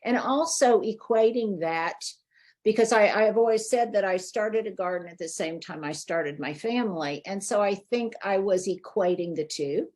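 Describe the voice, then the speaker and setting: A middle-aged woman talks as an interview guest, reflective and open about her choices. Her voice is mid-pitched, and she tends to quicken as she explains her thinking. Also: darkish tone. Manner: thoughtful, candid, speeds up when explaining. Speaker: garden designer guest.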